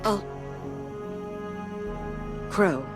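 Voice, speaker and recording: A tired, guilt-burdened advisor who speaks in a measured, earnest way. Soft music sits beneath her words. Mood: regretful.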